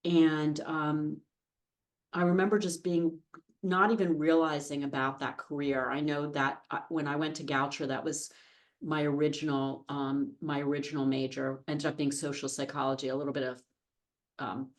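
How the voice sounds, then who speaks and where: Woman, fifties, American accent, low voice, chatty, self-deprecating, casual podcast guest. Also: level tone, moderate pace. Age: 50s. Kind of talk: casual anecdotal interview conversation. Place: podcast studio.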